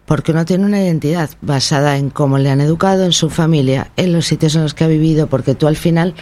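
A woman with a central Spanish peninsular accent, a guest on a panel, talking in a measured, explanatory way. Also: sits low, dark tone. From Spain. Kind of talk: casual panel chat.

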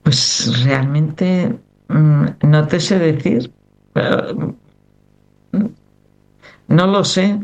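Middle-aged Cantabrian woman, chatty rambling interviewee, strong regional accent. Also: low-pitched. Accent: Cantabrian Spanish. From Cantabria, Spain. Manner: rambling, conversational. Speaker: choir member, interview guest. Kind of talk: casual interview chat.

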